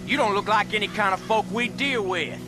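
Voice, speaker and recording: Man acting a high-voiced, hostile Southern raider. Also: brisk, music in the background, natural voice. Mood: suspicious, hostile. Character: suspicious, hostile male Southern raider on horseback.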